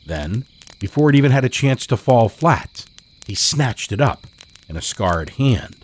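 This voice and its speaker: A man hosting a horror fiction podcast and reading its stories in a measured, dramatic way. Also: husky. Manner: measured, dramatic storytelling with lively pitch. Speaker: podcast host and narrator. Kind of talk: scripted horror narration.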